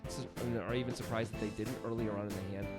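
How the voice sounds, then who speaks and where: A man's voice: fairly low pitch, steady tone, rapid-fire, loud music behind the voice. A man co-hosting a poker show, talking through hands conversationally and acting out imagined lines. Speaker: poker podcast co-host. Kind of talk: casual chat and analysis.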